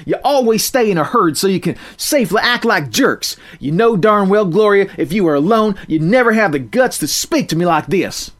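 A man's voice: sits high, animated, normal speed, hardly any pauses, voice pushed up. A man voicing a tough fourteen-year-old country girl who talks in a rural drawl with folksy sayings. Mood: defiant.

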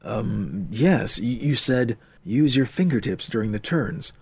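A man's voice: mid-range voice, expressive, a little slow, vocal fry, a little mellow, slightly rough, exaggerated voice. A man voicing a nervous young man who pleads and stammers.

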